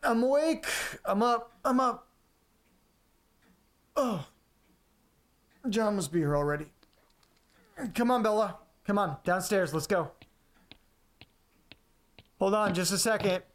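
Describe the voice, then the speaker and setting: A man's voice: sits high, expressive, takes it slowly, pauses often. A man playing an awkward, eager and slightly goofy fellow in an audio drama, casual and hesitant. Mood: groggy, flustered. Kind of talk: naturalistic audio drama acting.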